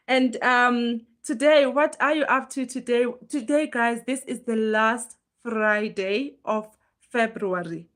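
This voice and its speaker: A woman with a fairly high voice hosts a casual live chat, her throat hoarse and strained from being sick. She pushes her voice out as she talks earnestly and greets viewers by name. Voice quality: normally very loud voice, pushing it now. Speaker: live stream host.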